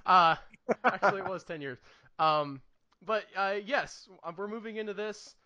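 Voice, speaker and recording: A man's voice: high voice, normal speed, bright, forceful tone, no room sound, dull audio. A man in his late twenties chatting casually as a podcast co-host, with a joking, self-deprecating manner. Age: late 20s.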